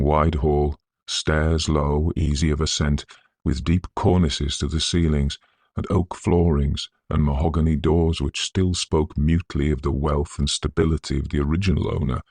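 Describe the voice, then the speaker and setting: Male narrator for Victorian ghost fiction: extremely deep, creaky, scholarly delivery with theatrical, measured phrasing. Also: a little mellow, no room sound.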